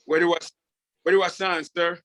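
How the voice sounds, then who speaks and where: A nervous, defiant male inmate played by a man in a table read pleads and protests at a parole hearing. His voice is high and bright, with agitated delivery. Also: little pitch movement, moderate pace. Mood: compliant. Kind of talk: script table read acting.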